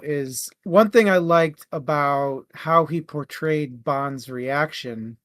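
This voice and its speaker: Man with higher-pitched voice, casual book chat. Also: fairly bright tone, no room sound, studio quality. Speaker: book club co-host.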